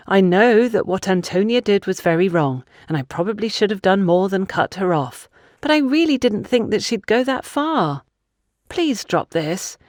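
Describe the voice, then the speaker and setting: A woman's voice: mid-range voice, darkish tone, dry recording, studio quality, lightly acted. Woman voicing a defensive, insistent young woman, lifted voice. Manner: insistent, self-justifying. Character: defensive young woman excusing herself to accusers. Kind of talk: audiobook character dialogue.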